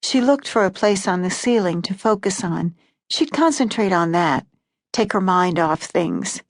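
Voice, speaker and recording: A woman narrating literary short stories in a calm, even voice of middling pitch. The recording is close and dry, with no room around it. The delivery stays steady and unhurried. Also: dry recording. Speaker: audiobook narrator.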